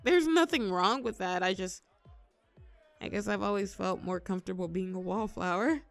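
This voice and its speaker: A young woman acting a shy, awkward, gently teasing birthday girl in a scripted audio roleplay, with a halting, expressive, conversational delivery. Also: mid-range voice, vocal fry, soft background music, lightly acted. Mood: awkward, playful. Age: young adult. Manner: bashful, halting, giggly, expressive.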